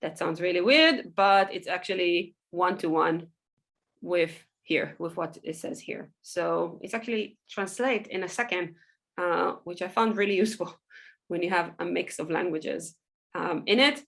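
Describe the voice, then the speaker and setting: A woman, a Hebrew speaker, giving a loose, chatty software workshop full of ums and small laughs. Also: lowish voice, unhurried, creaky. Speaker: workshop presenter. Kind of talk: casual software tutorial over screen share.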